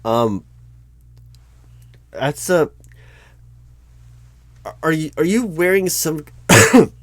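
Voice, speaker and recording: A man's voice: on the high side, expressive, a bit bright, no room sound. A man playing a recruiting manager in a one-sided job interview roleplay, polite and awkward, stumbling through ums and restarts. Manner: hesitant, halting, full of ums and restarts; polite, awkward, stiffly corporate.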